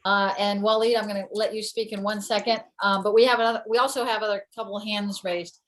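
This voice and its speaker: A woman teaching an online class, brisk and directive as she runs the call. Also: mid-range voice, fairly even intonation. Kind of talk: casual teaching over video call.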